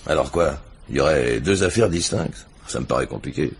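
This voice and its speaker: An actor playing a blunt, impatient police inspector, curt and pressing, in a French radio crime drama. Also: male voice, a bass voice, lively intonation, fairly quick, creaky, slight background noise, full character voice. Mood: puzzled, reporting.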